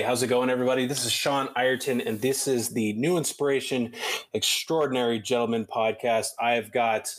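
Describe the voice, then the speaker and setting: Enthusiastic male podcast host, mid-pitched. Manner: informal, enthusiastic, complimentary. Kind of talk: casual interview hosting.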